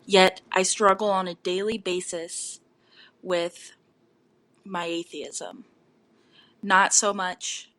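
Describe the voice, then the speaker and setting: A woman leaving a personal voicemail in a measured, earnest way. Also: mid-range voice, fairly bright tone. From United States. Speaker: caller.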